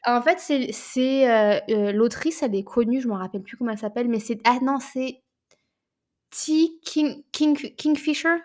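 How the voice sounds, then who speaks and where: A woman, co-hosting a casual book podcast, animated and often repeating words as she searches for them. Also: fairly high pitch, moderate pace. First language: French. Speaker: podcast co-host.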